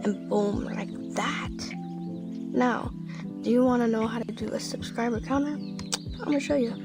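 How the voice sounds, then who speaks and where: A woman's voice: a little high, moderate pace, loud music behind the voice. Woman giving casual step-by-step instructions. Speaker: tutorial maker. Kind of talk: screen-recorded tutorial.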